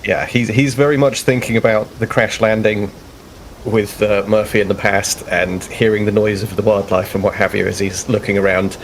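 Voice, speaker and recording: Man, gravelly low voice, game player, casual hesitant narration, noisy room. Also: steady tone, moderate pace. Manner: hesitant, describing his character's actions. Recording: clear background noise, room ambience.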